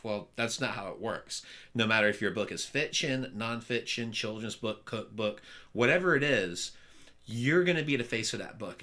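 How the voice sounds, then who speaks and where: A man hosting a podcast interview, talking in an energetic, upbeat way with a loud voice. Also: medium pitch. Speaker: podcast host. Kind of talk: casual interview chat.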